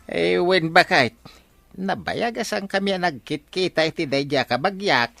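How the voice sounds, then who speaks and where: A man's voice: sits high, animated, moderate pace, gravelly, pushed and bright, no room sound, faint music behind, full character voice. A man playing a boastful, hot-headed, comical village captain in an Ilocano radio drama, blustering and self-important with a Filipino accent. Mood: defensive. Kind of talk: comedic radio drama acting.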